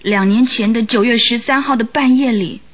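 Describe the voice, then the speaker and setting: Anxious, high-voiced young woman. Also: moderate pace, a bit bright. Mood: grave, recounting. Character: anxious young woman client recounting her sister's death. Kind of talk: Mandarin radio drama acting. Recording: radio drama with music behind.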